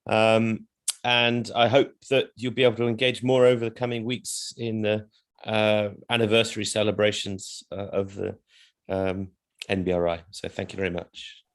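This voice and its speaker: Man, low voice, hesitant and apologetic webinar remarks via video call. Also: little pitch movement, moderate pace. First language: not Indonesian. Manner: hesitant, apologetic, many fillers and self-conscious laughs. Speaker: co-founder presenting certificates.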